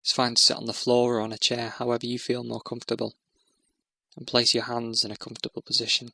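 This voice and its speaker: A man guides a meditation in a measured, soothing manner. His pitch stays very level, and there is a noticeable creak in the voice. The sound is close and very dry, as he gives gentle step-by-step instruction. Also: monotone, no echo.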